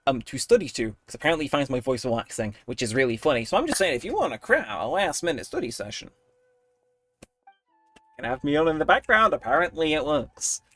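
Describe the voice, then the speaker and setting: A man's voice: a little high, sing-song, a little fast, a bit bright, no room sound. A young adult man chatting casually with viewers while streaming a game, rambling and poking fun at himself. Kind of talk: casual livestream chat while gaming.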